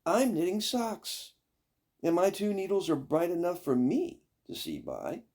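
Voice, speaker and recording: A selfish young fairy-tale stepsister , put on by an older man, whining and petulant. The delivery is emphatic and pushed, with a gravelly grain. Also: high-pitched, big pitch swings, a little slow, a little mellow, voice pushed up, exaggerated voice. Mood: petulant, smug.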